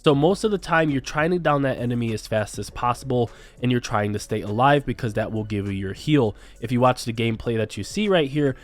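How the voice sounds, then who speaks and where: A young man, a bit raspy from being sick, walking viewers through a game build in a friendly, explanatory voice-over. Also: medium pitch, animated, a little fast, few pauses. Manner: friendly, explanatory, addresses viewers as you guys. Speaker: gaming channel host.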